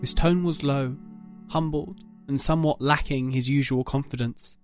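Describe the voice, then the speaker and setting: A man's voice: medium pitch, fairly even intonation, moderate pace, soft background music. A man reading dramatic scripted narration as the narrator of an audio story.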